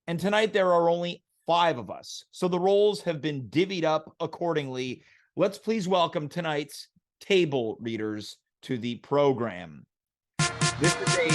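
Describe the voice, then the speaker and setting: A man with a high voice hosts a live online show. His delivery is very animated and announcer-like, leaning on words with theatrical emphasis. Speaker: host.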